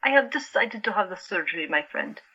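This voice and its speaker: A woman's voice: mid-range voice, lively intonation, a little fast, gravelly, bright, forceful tone, breathy edge, muffled recording, full character voice. A woman playing a troubled, resigned young female asylum patient and artist in an audio drama. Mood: resigned, insistent.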